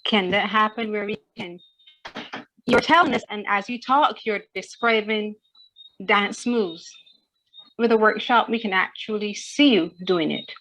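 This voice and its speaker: A woman's voice: mid-range voice, a little slow, a bit bright, poor audio. A woman asking a guest a question on a webinar, hesitant and restarting her words. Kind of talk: asking a question on a video call.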